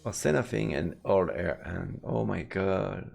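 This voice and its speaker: Slow, creaky-voiced man streaming. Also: deep voice, a little mellow. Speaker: streamer playing a visual novel. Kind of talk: casual let's play commentary, reading game text aloud. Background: game music.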